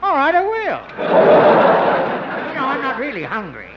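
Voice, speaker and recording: A chummy, mildly boastful doctor in a 1950s radio comedy. His voice is high and swoops up into giggles. It sits under heavy noise on an old recording. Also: huge pitch swings, moderate pace, dull audio, heavily acted. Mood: coy, greedy. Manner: giggly, drawling, folksy.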